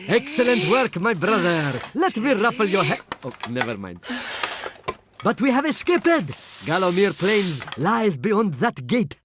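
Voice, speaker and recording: High, excitable roguish creature. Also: male voice, a little slow, noisy background. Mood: chummy, excited. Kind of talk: video game character acting.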